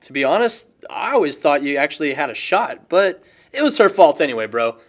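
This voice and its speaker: Casting: male actor as sincere, slightly awkward young guy, highish lively voice, casual confiding delivery, film drama. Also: a little fast. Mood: thoughtful. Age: young adult. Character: earnest, smitten young man opening up to his friend. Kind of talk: naturalistic buddy dialogue.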